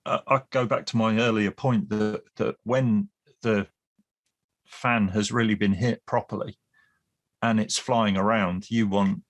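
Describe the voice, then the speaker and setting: Brief: male guest expert, lowish voice, talks in long explanatory runs with restarts and dry asides. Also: fairly even intonation, unhurried. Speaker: guest expert on Cold War British Army. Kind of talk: casual podcast discussion.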